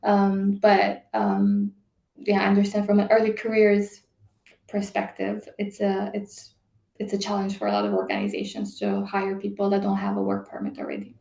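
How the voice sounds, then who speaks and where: Woman hr leader, gravelly low-key voice, lots of ums, echoey room. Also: big pitch swings, normal speed, mellow. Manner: hesitant, many fillers. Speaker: HR and diversity leader. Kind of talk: panel discussion answer.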